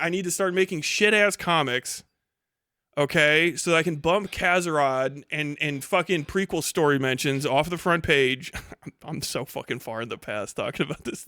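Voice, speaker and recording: A man in his thirties ranting casually to a livestream chat, swearing freely in a mocking, animated way. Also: on the high side, no room sound, studio quality.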